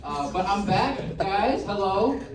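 A male stand-up comedian from the Bronx, doing a loud, crude set and joking with the crowd in a comedy club. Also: high voice, lively intonation, takes it slowly, heavy background noise. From the Bronx, New York. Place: comedy club stage before a laughing crowd. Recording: echoey club, distant mic, loud crowd.

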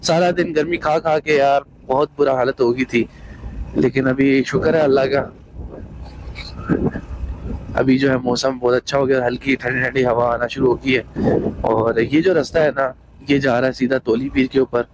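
A man's voice: mid-range voice, fairly even intonation, moderate pace, background noise. A man vlogging his mountain trip, chatty and enthusiastic, talking to viewers on a trail outdoors. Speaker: travel vlogger. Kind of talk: casual travel vlog narration.

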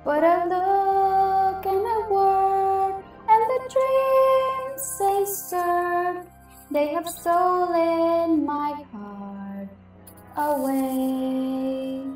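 Woman acting as singing working-class washerwoman, audiobook, extremely slow lullaby style, roomy echo, distant. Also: extremely high pitch, steady tone, darkish tone, exaggerated voice. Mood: wistful singing. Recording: echoing, distant, music behind.

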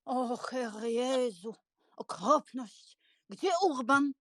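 An actress playing a flustered, pious, sentimental elderly spinster aunt in an audiobook drama, plaintive and fretful. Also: female voice, fairly high pitch, very slow, fairly bright tone, dry recording, studio quality, heavily acted. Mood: alarmed. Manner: plaintive, fretful, easily shocked.

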